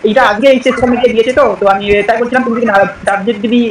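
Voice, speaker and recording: A man's voice: extremely high pitch, steady tone, racing. A young man making an improvised prank phone call, speaking hesitantly and repeating himself. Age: young adult. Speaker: prank caller. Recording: distant mic, faint background noise.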